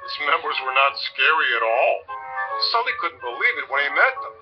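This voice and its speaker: Male children's storybook narrator, expressive and fairly high, scripted read, music underneath, lo-fi sound. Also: a little fast. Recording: muffled playback with music behind.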